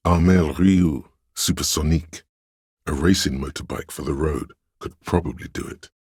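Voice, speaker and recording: A man voicing a pedantic inner voice of encyclopedic knowledge in a game, narrating lore in a measured, learned, didactic way. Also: extremely low pitch, darkish tone, slightly rough, no room sound, studio quality. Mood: scholarly. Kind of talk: scripted narration, delivering trivia.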